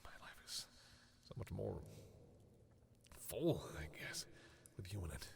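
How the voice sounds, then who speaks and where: A man playing a protective, anguished vampire devoted to a human partner, in an intimate dramatic audio roleplay, with a breathy, brooding yet tender delivery. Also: sits low, big pitch swings, unhurried, in a whisper, full character voice. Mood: anxious, pleading. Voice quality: husky, breathy.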